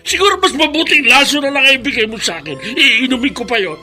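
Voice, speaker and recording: Bitter old Filipino father, high-pitched. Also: animated, quick pace, bright, forceful tone, faint music behind, full character voice. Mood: bitter outburst. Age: older. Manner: obstinate, self-pitying, complaining. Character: bitter, stubborn, sickly elderly father refusing care. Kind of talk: Filipino radio drama acting.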